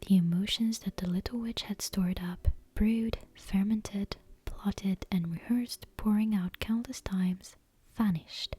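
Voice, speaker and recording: Female ASMR storyteller, very breathy whisper with a soft mellow tone, gentle scripted bedtime narration. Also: medium pitch. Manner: gentle, careful, hushed read-aloud delivery.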